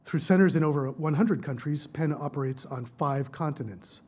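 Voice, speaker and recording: A man hosting a library event, reading formal introductions of the speakers in a measured, prepared way. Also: a little high, a little mellow. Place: lecture hall at a research library.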